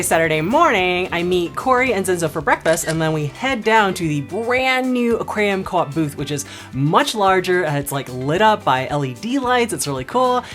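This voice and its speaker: A woman in her thirties narrating a casual vlog in a chatty, upbeat way, laughing often. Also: lowish voice. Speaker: vlogger.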